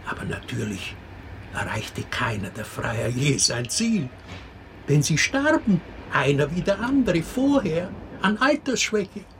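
An actor playing a wry, expressive fairy-tale storyteller in a radio drama. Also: male voice, on the high side, a little slow, slight background noise. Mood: wry storytelling. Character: wry, unhurried male teller of an absurd fairy tale.

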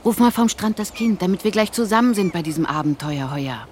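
High-voiced pompous rhyming stepfather. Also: animated, fairly quick, slight background noise. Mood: pompous, cheery. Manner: speaks in rhymes. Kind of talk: German radio play acting.

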